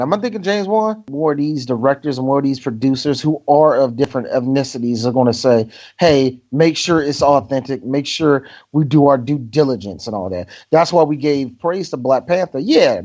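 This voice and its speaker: A man co-hosting a podcast in casual movie-review banter, animated and profane, often laughing and dropping into mock voices. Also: a little high, dull audio. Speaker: podcast co-host.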